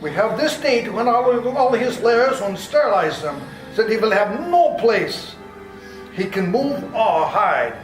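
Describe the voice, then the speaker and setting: A man playing a grave old professor, high and lively. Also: unhurried. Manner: grave, urgent, commanding. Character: earnest old vampire-hunting professor. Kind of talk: live amateur radio play. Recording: roomy hall with music behind.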